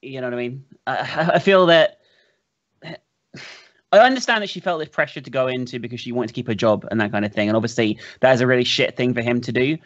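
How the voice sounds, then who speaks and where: Fast-talking man, rambling and sweary. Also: mid-range voice, a bit bright, dry recording. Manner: rambling, hedging, profane. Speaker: podcast host. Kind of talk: casual commentary. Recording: dry, slightly dull podcast audio.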